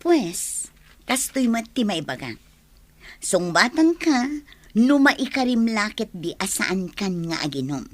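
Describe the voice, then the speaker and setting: A woman's voice: mid-range voice, big pitch swings, slow. A woman acting a village woman in a radio drama, speaking with an Ilocano accent. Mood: cautious, courted. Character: village woman named Marina, wary of a suitor's drinking.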